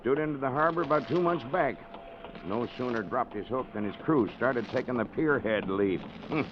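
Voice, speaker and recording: A man's voice: mid-range voice, expressive, normal speed, close to an everyday voice. A man playing a crusty old sea dog with a wildly swooping, folksy delivery full of nautical slang. He is nosy and fond of drink, heard on a muffled old radio broadcast with heavy background noise. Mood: knowing, folksy. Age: older. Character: salty old expatriate boatman, nosy and boozy. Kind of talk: old-time radio drama acting.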